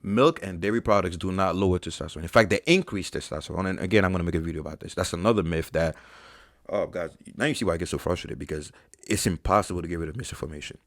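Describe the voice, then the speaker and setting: Young male fitness host, deep voice, dry booth sound, profane and exasperated. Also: quick pace, no room sound. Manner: blunt, profane, exasperated debunking. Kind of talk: live Q&A, reading chat questions.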